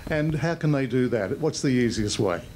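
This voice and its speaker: Male TV reporter, mid-pitched voice, interview style, light location noise. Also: animated, moderate pace, darkish tone. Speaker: news reporter. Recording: slight background location noise.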